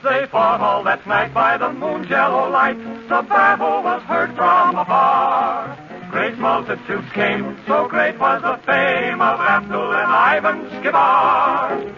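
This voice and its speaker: A man's voice: very high-pitched, lively intonation, slow, lo-fi sound, loud music behind the voice. A man singing a comic ballad in a 1940s radio vocal group style. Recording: band-limited 1940s radio recording. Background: loud musical accompaniment.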